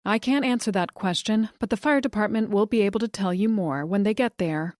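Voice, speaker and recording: A woman voicing a calm emergency dispatcher who gives steady instructions. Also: mid-range voice, a little fast, no echo, studio quality, natural voice. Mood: calm, firm.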